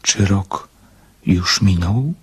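An actor playing a shy, devoted dreamer in a radio drama, stammering and eager as a gentle confidant. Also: male voice, low-pitched, extremely slow, breathy edge, heavily acted. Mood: concerned, eager.

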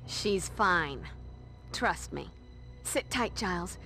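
An actress playing a wisecracking, brave teenage vampire slayer in a video game, with a flip, breezy delivery. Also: female voice, on the low side, expressive, takes it slowly, sharp tone, faint music behind, exaggerated voice. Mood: breezy, confident.

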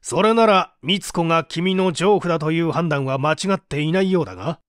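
A man's voice: a little high, animated, a little fast, dry recording, studio quality, close to an everyday voice. A man playing a stern, relentless prosecutor with an authoritative, pressing manner in a dramatised mystery reading. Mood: probing, measured. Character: stern male prosecutor, relentless, accusing interrogator. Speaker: audiobook reader.

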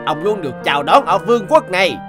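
A man voicing a jovial, gracious mouse king in an animated folktale, with a courtly, hearty manner. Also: sits high, quick pace. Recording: music behind the voice.

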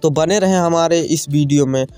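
A man's voice: fairly high pitch, normal speed, warm tone, clear, resonant tone, faint music behind. A man narrating a casual farm vlog, informally addressing viewers as friends. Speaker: farm video channel host. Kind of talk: casual vlog narration, showing livestock for sale.

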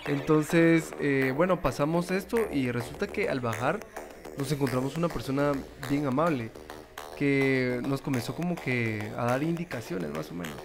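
A man narrating his road trip casually, explaining practical tips with many pauses and fillers. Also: fairly high pitch, creaky. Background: loud music.

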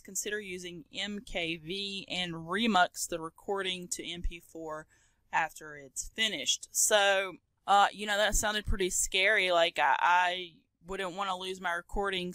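A woman hosting a casual software tutorial, explaining step by step in an informal, conversational way. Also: lowish voice, no echo. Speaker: tutorial host.

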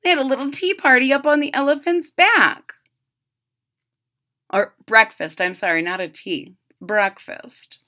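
A middle-aged woman giving an expressive, exaggerated children's read-aloud with casual asides. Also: high-pitched, measured pace. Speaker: storyteller reading a picture book. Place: small room at home, indoors.

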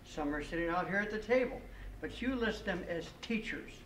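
A man's voice: high voice, lively intonation, normal speed, fairly bright tone. An older man speaking as a resident at a school board public comment, methodical and pointed as he walks through numbers. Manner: methodical, pointed, citing figures. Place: school library meeting room. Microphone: podium microphone, picked up from a distance. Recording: echoey room, distant mic, faint hiss.

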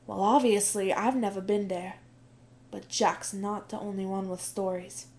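A woman's voice: mid-range voice, fairly even intonation, unhurried, darkish tone, close to an everyday voice. A woman voicing a fiery young girl who poses as a newsboy, speaking in tough, heated street talk in an amateur audio drama.

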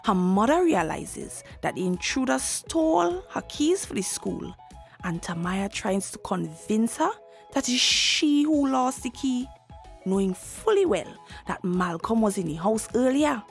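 A woman's voice: on the high side, very animated, unhurried, vocal fry, faint music behind. A woman with a Tobagonian accent narrating a drama recap in a gossipy, teasing storytelling style. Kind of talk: scripted storytelling narration in dialect.

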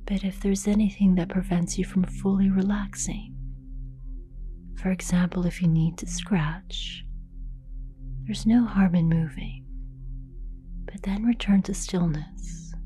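A woman leading a guided meditation in a soft, breathy near-whisper, calm and gentle, with long unhurried pauses. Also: mid-range voice, faint music behind. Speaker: yoga nidra meditation guide. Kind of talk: guided meditation, slow and soothing narration.

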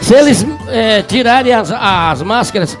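Very high-pitched Northeastern Brazilian man, joking. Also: lively intonation, a little slow, over music. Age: middle-aged. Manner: joking, repeats himself. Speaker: forró singer, guest. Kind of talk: casual humorous chat. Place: TV studio. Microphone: handheld microphone.

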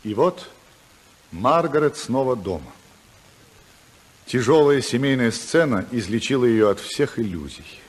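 An actor narrating a 1950s radio drama in measured, expressive storytelling style. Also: male voice, mid-range voice, slightly rough.

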